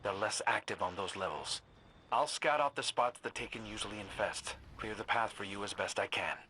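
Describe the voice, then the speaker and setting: An actor playing a wry, guarded lone scout in a video game, with a dry, understated way of talking. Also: male voice, lowish voice, moderate pace, bright, forceful tone, slight background noise, low-quality recording. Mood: wary, dry. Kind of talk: cinematic video game dialogue.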